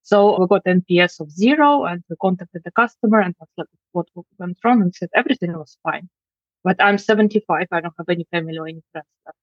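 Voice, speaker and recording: A woman's voice: on the low side, no echo. Woman explaining, dull dry audio. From Finland. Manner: measured, explanatory, dry humor. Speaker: guest customer experience expert. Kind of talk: interview answers.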